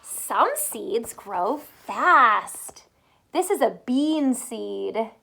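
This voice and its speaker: A woman teacher reading a picture book aloud to young children, pausing to explain simply and ask questions, drawing out words for emphasis. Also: a little high, lively intonation, gravelly, sharp tone, slightly rough.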